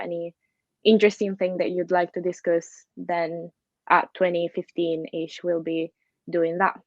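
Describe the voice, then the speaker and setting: A young woman introducing the evening's schedule as organizer of an online meetup, in a friendly, relaxed way. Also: fairly low pitch, dry recording, dull audio. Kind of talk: event introduction. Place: home room.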